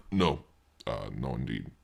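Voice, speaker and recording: A man's voice: extremely low pitch, sharp tone, heavily acted. A man playing a frail, courteous old psychic researcher with bad lungs, hesitant and formal, in an old-time radio drama style. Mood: courteous, modest. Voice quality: gravelly, with a chesty cough.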